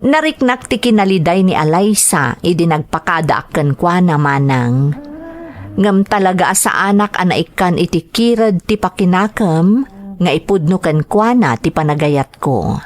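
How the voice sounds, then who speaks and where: A woman's voice: fairly low pitch, expressive, measured pace. A woman with an Ilocano accent giving a dramatic scripted narration of a personal letter.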